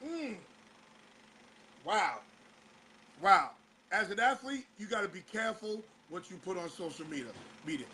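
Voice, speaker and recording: A male show host, slightly hoarse, talking in a casual commentary style and firing off exasperated rhetorical questions. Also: sits high, bright, forceful tone.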